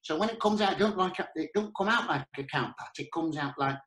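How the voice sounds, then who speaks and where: A man with a Northern English accent, telling comic anecdotes in an animated, chatty, earthy and colloquial way as a podcast guest. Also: on the high side, quick pace. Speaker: podcast guest, pantomime performer. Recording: roomy, echoey sound.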